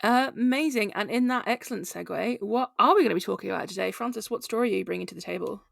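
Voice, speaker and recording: A woman's voice: medium pitch, fast, vocal fry, dry recording, studio quality. A woman hosting a fintech news podcast, upbeat and enthusiastic as she introduces her co-hosts. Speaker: podcast host.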